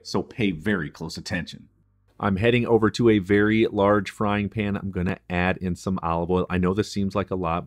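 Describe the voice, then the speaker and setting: Chef narrating a recipe, low male voice, dry and close, clear practical instruction. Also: a bit bright, no room sound. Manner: instructive, friendly, practical kitchen tips. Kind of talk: cooking tutorial voice-over.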